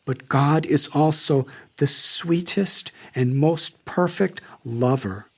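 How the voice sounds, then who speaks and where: Audiobook role, performed by a male narrator: gentle, reverent wandering holy man, slow-spoken and husky. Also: a little high, exaggerated voice. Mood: serene, devout. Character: serene, mysterious, ageless male Indian holy man, devout.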